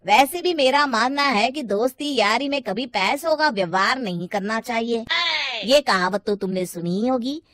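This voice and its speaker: A woman voicing a cautious, principled male office friend, measured and advising, in a cartoon moral story. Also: fairly high pitch, lively intonation, a bit bright.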